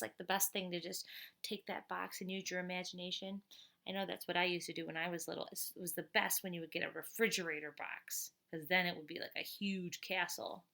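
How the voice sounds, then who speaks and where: A woman reading a picture book aloud, expressive, with chatty asides to young listeners. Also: fairly low pitch, a little fast, gravelly.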